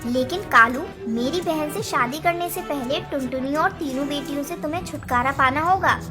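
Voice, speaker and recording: A woman's voice: high voice, moderate pace, lightly acted. A woman voicing a scheming, gossiping female parrot in a cartoon, taunting and spiteful. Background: background music.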